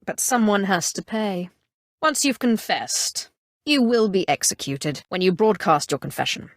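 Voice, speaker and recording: A woman playing an imperious, calculating Sith sorcerer in a video game, with a measured, haughty and coolly threatening delivery. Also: mid-range voice, lively intonation, no room sound, clean studio sound, natural voice. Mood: stern, commanding. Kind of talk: scripted game character dialogue.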